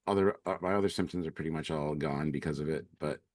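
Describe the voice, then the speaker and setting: A man with a very deep voice, made raspy and congested by a cold. He talks in a laid-back, halting way, with plenty of pauses and hedges as he reviews an episode live. The sound is dry and close, with no room around it. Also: darkish tone, no room sound. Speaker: co-host. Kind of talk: live-stream review chat.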